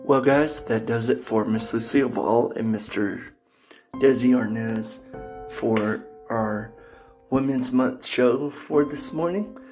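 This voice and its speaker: Male podcast presenter, slow casual delivery, courteous phrasing, light guitar bed. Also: medium pitch, low-quality recording. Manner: addresses listeners as guys, formal Miss and Mister titles. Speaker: podcast host. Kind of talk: casual radio-show presenting. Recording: faint music behind the voice.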